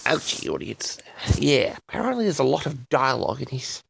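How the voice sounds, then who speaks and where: A man hosting a personal audio log, rambling solo to his audience in a theatrical manner with drawn-out words. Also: mid-range voice, sing-song, gravelly, a bit bright, slight background noise.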